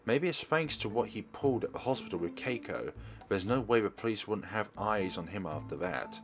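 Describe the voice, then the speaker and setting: Male let's player, lowish voice, expressive dramatic reading of game text over clear background music. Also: fairly quick. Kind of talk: dramatic read-aloud of visual novel text.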